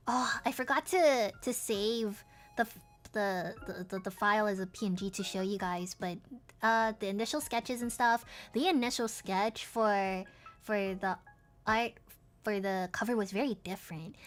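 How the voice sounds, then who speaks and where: A woman's voice: fairly high pitch, a bit bright. Tired-voiced woman streamer rambling casually. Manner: rambling, lots of fillers and giggles. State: tired, strained voice. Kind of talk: casual chat with viewers.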